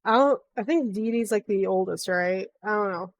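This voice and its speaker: Woman, streamer, casual reaction chat, blunt, slangy, sweary, mid-pitched. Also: normal speed. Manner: informal, blunt, profane slang.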